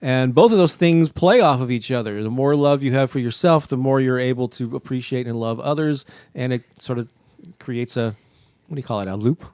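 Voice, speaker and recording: A man's voice: medium pitch, a little fast, a little mellow, dry recording, clean studio sound. A man hosting a casual livestream, greeting viewers by name and laughing often. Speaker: host.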